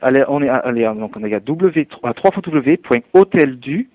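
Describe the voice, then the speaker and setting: A man's voice: mid-range voice, expressive, moderate pace, no echo. A man working as a hotel night receptionist, patiently and helpfully explaining things step by step over the telephone. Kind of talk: phone customer service, spontaneous conversation. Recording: tinny narrowband phone line.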